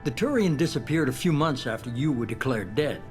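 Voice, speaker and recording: A man's voice: mid-range voice, lively intonation, natural voice. A man playing a calculating, commanding shadowy leader. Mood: cool, commanding. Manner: measured, smooth, authoritative. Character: calculating middle-aged male shadowy organization leader, cool and commanding. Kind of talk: video game dialogue. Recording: game music behind.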